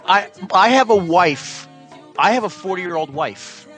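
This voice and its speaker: A man in his late thirties co-hosting a casual talk show, halting and repeating himself in self-mocking bits. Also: high-pitched, animated, fairly bright tone, faint music behind. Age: 30s. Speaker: podcast co-host. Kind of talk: casual banter.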